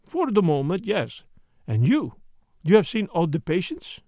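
A man's voice: sits high, wildly swooping pitch, a little slow, warm tone, no echo, studio quality, full character voice. A man voicing a fussy, self-satisfied Belgian detective in an audiobook, speaking with a put-on French accent in a precise, mannered way. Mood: smug, teasing. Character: fussy, smug middle-aged male Belgian detective. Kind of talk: audiobook character voice.

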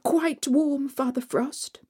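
A woman voicing a kind, brave young peasant girl, pitched higher than her own voice. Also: fairly even intonation, takes it slowly, warm tone. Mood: shivering, brave.

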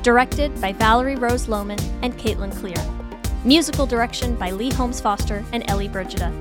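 A woman with a fairly high voice delivers scripted podcast announcements. Music plays clearly behind her narration. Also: a little fast. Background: prominent music.

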